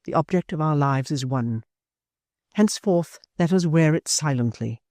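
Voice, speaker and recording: A man voicing a proud, bitter young woman in a storybook reading, speaking low and steady. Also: normal speed, no echo, studio quality. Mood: cold. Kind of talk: audiobook character voice.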